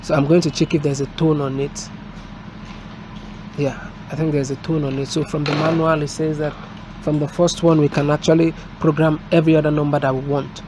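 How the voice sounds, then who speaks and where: Halting, flat-toned man over noisy background. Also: on the high side. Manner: halting, step-by-step explaining. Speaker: technician presenting a product installation. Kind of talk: unscripted hands-on tutorial and review. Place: indoors at a desk, filmed from above. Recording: noisy room with street and vehicle sounds.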